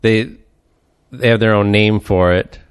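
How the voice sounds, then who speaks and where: A man's voice: on the low side, steady tone, measured pace, smooth, no echo. A man hosting a casual chat, explaining things in a rambling way with many restarts and fillers. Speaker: podcast host. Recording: talk over a song playing.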